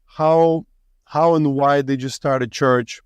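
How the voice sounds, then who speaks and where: Podcast host: male, Russian speaker, smooth clear tone, dry sound, reflective and halting delivery. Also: mid-range voice, steady tone, dry recording. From Latvia. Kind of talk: conversational interview.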